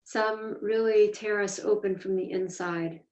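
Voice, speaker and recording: A woman teaching a guided meditation, speaking in a measured, deliberate way with pauses between phrases. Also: mid-range voice, steady tone, a little mellow. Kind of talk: guided meditation, slow instruction. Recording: echoey room, distant mic, dull sound.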